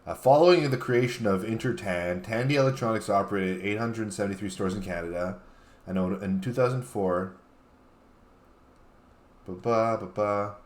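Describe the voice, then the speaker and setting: A man with a fairly low voice talks casually as a guest on a comedy show. He reads posts aloud and explains them haltingly, sometimes apologetically. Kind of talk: casual banter, reading out tweets.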